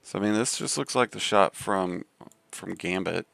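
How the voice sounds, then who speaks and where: Southern guy with a deep gravelly voice, laid back rambling stream chat, dry sound. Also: normal speed, a bit bright, dry recording. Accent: Southern American. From Southern United States. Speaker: game streamer. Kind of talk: casual live stream commentary.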